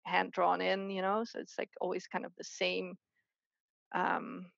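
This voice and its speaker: A woman's voice: lowish voice, completely flat delivery, gravelly, dry recording, highs cut off. A woman from the Netherlands, a guest artist chatting casually in an interview, full of restarts and fillers. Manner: chatty, many restarts and fillers, quick laughs.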